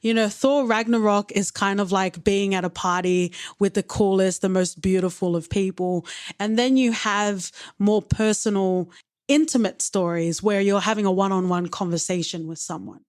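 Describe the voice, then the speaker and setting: A woman's voice: mid-range voice, steady tone, normal speed, a little mellow, clear, resonant tone, dry recording, studio quality. A woman co-hosting a podcast, talking earnestly and openly about her feelings with some wry humor. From Australia. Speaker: podcast co-host. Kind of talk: reflective casual chat.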